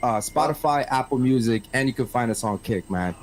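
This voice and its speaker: Slangy male podcast host, mid-pitched. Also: animated, fairly quick. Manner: slangy, peppers talk with "man" and "bro". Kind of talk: casual live-stream talk show chat.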